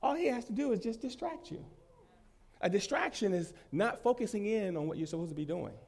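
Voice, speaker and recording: A man preaching with real fire, his fairly high voice leaping up and down as he drives each point home. He repeats key phrases and swings from loud bursts to softer asides. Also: lively intonation, fairly quick. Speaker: pastor. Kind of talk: sermon.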